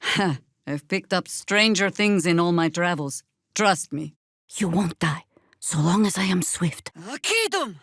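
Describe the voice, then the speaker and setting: A woman's voice: on the low side, very animated, unhurried, a bit bright, no room sound, heavily acted. A woman playing an older, seasoned druid warrior in a fantasy video game, wry, dry and commanding in manner. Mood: grave, knowing, then commanding battle cries.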